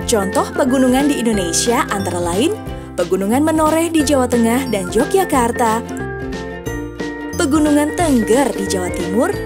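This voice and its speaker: A woman narrating a scripted science lesson for children, asking friendly questions as she explains. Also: on the high side. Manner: friendly questions to young listeners. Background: music.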